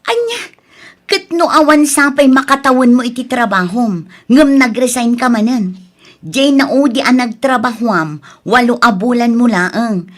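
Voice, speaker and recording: An actress plays a put-upon adult daughter in an audio drama. Her voice sits a little high and carries an Ilocano accent, earnest and full of reproach. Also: animated, unhurried. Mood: exasperated, reproachful. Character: overburdened adult daughter and reproachful elder sister.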